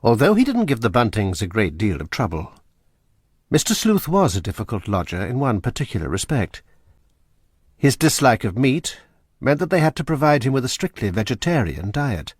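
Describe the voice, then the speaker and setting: A man narrating a radio drama in a measured, dramatic storytelling manner. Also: mid-range voice, very animated, slightly rough, dry recording, clean studio sound. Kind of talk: scripted narration.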